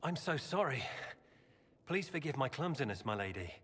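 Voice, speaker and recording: A man's voice: mid-range voice, big pitch swings, moderate pace, natural voice. An actor playing a frail, polite young nobleman in a video game cutscene, apologetic and deferential. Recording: long hall reverb, faint noise.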